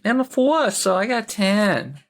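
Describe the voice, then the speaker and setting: A player chats casually during a tabletop role-playing game. The voice is mid-pitched and slow, with a tentative manner of thinking aloud. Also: sing-song, background noise.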